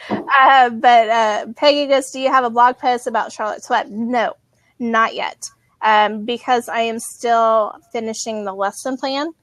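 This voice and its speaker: Woman host, bright higher voice, dry close sound, casual chatty delivery. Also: steady tone, a little slow, dry recording. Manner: chatty, laughs often, many ums. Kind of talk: casual live video chat.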